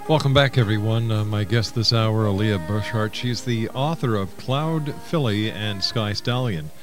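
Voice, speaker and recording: Low-voiced male radio talk host. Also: measured pace, over music. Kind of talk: conversational radio hosting. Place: radio studio.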